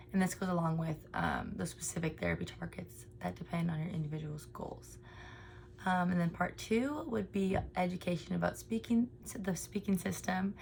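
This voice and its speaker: A woman's voice: on the low side, creaky, slight background noise. A young woman in her twenties presenting a speech therapy lesson in an earnest, informal way, with frequent ums.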